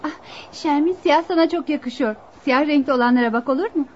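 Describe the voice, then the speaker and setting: A woman's voice: high-pitched, brisk, darkish tone, a little hiss or hum, lightly acted. A woman playing a teasing, gossipy young friend in a radio drama. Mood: chatty. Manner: chatty, teasing. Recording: dull lo-fi radio recording.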